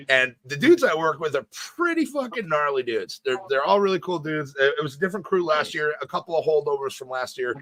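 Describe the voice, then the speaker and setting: A man's voice: medium pitch, fairly bright tone, low-quality recording. A man from co-hosting a podcast, telling loose stories full of swearing and acted-out quotes. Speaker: podcast co-host. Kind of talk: casual podcast chat.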